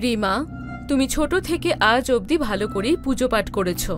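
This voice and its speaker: A woman playing a motherly older woman of the family in an animated horror story, maternal and coaxing. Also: a little high, brisk, lightly acted. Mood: advising. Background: music.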